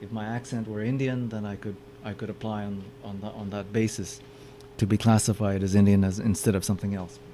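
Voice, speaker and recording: A man's voice: lowish voice, little pitch movement, fairly quick, hardly any pauses, warm tone, a little hiss or hum. A man giving a public lecture with slides, often stopping to repeat his words as he explains. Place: meeting room with audience.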